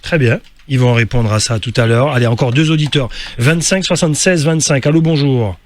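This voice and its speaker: A man hosting a call-in radio debate, lively and prompting callers quickly. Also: medium pitch, dry recording. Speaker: radio host. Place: radio studio.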